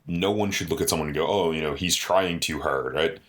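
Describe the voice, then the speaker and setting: A man with a deep voice co-hosting a podcast in a studio, talking earnestly in casual chat and often adding "you know" and "right?". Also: brisk, fairly bright tone. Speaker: podcast co-host.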